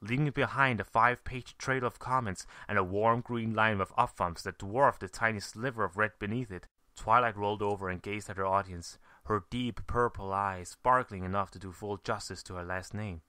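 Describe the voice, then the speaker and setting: Bright, forceful man narrating a story. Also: fairly low pitch, fairly even intonation, normal speed, hardly any pauses, no room sound. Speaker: fan fiction reader, narrator.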